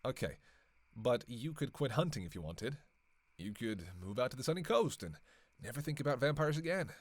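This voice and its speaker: A man's voice: mid-range voice, expressive, moderate pace, no room sound, lightly acted. A man playing a sardonic, flirtatious, long-lived vampire killer in a roleplay audio drama, with casual, teasing, sweary banter. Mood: defensive, musing. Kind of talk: casual roleplay audio acting, one side of a dialogue.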